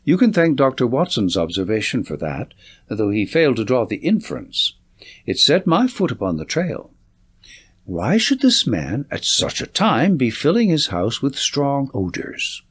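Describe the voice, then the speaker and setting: A man's voice: medium pitch, wildly swooping pitch, measured pace, fairly bright tone, slightly rough, full character voice. A man voicing a confident, commanding detective in an audiobook, speaking in an assured, articulate, lecturing way. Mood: explanatory, assured.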